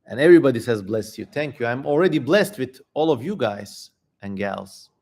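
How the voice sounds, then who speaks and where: A man's voice: medium pitch, expressive, moderate pace, a little mellow. A man hosting a livestream, giving irreverent, slangy and theatrical commentary on a dramatic reading. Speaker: livestream host.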